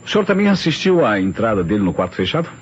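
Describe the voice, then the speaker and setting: A man with a Brazilian accent playing a brisk, methodical police inspector in an old radio drama, questioning formally, on a muffled, noisy recording. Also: fairly low pitch, very animated. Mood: probing.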